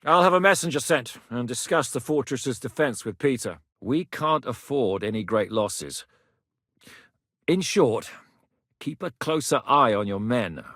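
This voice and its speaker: An actor playing a battle-hardened medieval rebel commander in a video game, gruff and dry-humoured, with a blunt, commanding, sardonic manner. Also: male voice, mid-range voice, animated, measured pace, a bit bright, dry recording. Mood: calm, dry. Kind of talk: dramatic video game character acting.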